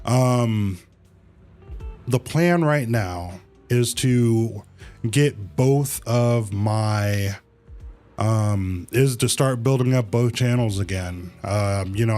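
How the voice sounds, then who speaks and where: Male streamer, unhurried and halting, slangy casual chat, faint music behind. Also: medium pitch, darkish tone. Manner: halting, slangy, easygoing rambling with repeated phrases. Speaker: live streamer, host of own channel. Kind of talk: casual livestream chat to viewers.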